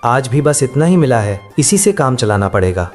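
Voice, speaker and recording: A man's voice: mid-range voice, sing-song, normal speed, faint music behind, lightly acted. A man voicing a poor, worried, devoted village husband and son in a cartoon moral story, earnest and careworn. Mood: troubled.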